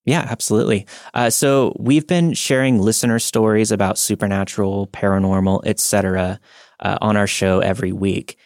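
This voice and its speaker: A man hosting a podcast in a relaxed, chatty way, laughing often and filling pauses with "uh". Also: fairly low pitch, dry recording, studio quality. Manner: easygoing, laughs often, fills with "uh". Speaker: podcast host. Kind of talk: casual interview chat.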